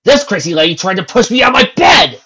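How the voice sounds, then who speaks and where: A man voicing an angry young pony colt, shouty and indignant, on a dull lo-fi recording. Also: lowish voice, fairly quick.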